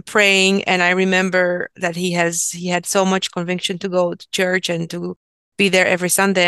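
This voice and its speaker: A woman from Romania with a low, smooth voice that stays flat in pitch. She hosts an interview hesitantly, pausing often with "um". The sound is dry and close. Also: no room sound. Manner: hesitant, searching for words, many "um"s. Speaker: interview host. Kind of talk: interview conversation.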